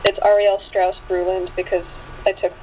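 A woman's voice: mid-range voice, level tone, normal speed. Woman guest on a hissy phone line. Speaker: interview guest, writer and actress. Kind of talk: casual chat. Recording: thin phone line with hiss.

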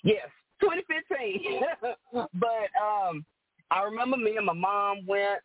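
A woman from Georgia with a deep alto voice, chatting as a guest singer and laughing often. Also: expressive, takes it slowly, dry recording. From Rome, Georgia, USA. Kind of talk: casual interview chat. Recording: phone-like call line.

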